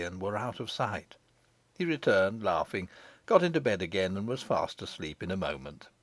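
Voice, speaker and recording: Male narrator for a children's fantasy audiobook: animated, varied intonation, very dry recording. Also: mid-range voice, dry recording. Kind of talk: scripted storybook narration.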